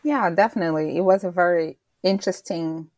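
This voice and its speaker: Low-voiced woman from Rwanda. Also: brisk, a little mellow, no echo. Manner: thoughtful, with many ums and self-restarts. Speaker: guest author. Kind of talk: podcast interview answers.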